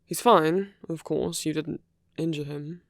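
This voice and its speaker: A performer playing a suave male villain in a romantic ASMR roleplay, speaking in hushed, deliberate phrases with pauses. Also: mid-range voice, fairly even intonation, gravelly, dry recording, clean studio sound. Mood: calm, reassuring. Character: suave male villain urging a captured hero to flee.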